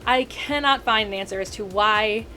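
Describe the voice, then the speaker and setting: A woman in her thirties, a video critic ranting casually to camera, rambling and animated with frequent self-corrections and asides. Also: medium pitch, moderate pace, faint music behind. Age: 30s.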